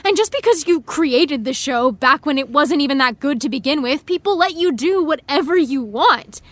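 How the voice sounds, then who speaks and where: An outspoken young woman in a naturalistic audio drama. Her voice is high and close-miked in a dry space, by turns halting and bluntly indignant. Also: expressive, dry recording. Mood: indignant anger. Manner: halting, then bluntly forceful.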